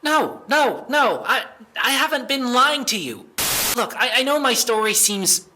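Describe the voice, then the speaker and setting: A man's voice: very high-pitched, very animated, a little slow, background noise, heavily acted. A man playing a desperate, defensive young man under questioning in an audio drama, stammering and exasperated as he speaks. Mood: panicked, defensive.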